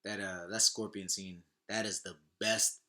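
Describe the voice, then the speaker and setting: A man's voice: lowish voice, fairly even intonation, a little slow, pushed and bright. A man in a casual podcast chat, opinionated and slangy, swearing freely as he talks. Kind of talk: casual podcast chat about movies and games.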